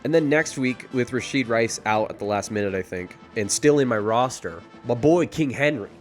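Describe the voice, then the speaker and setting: Young male vlogger, bouncy pitch, rambling and excitable, jokes at his own expense. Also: normal speed, soft background music. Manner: hyped, rambling, self-mocking, excitable play-by-play. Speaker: fantasy football league vlogger. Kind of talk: casual rambling recap.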